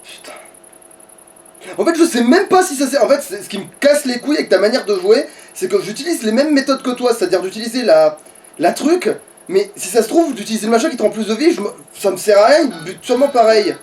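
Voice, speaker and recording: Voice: medium pitch, expressive, quick pace, a little mellow. A player commenting casually on their own game, grumbling and swearing as they go. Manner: foul-mouthed, grumbling. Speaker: let's play player and commentator. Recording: distant mic, music behind.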